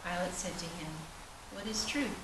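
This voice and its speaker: A woman's voice: on the low side, moderate pace. A woman reading a Bible narrative aloud as a solemn scripted reading. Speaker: scripture reader. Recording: roomy echo, distant mic, loud noise.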